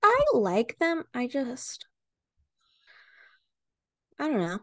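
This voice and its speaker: A woman's voice: high-pitched, big pitch swings, very slow, pushed and bright, no room sound. Teasing, laid-back woman on a dry mic. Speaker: podcast co-host. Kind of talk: casual chat.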